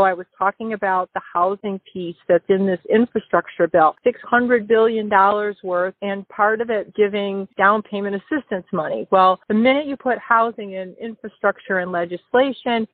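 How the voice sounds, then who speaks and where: A woman's voice: medium pitch, no echo. A woman giving a legislative update on a radio talk show, explaining steadily with occasional light laughs. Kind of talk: casual radio chat. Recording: dry phone-line audio.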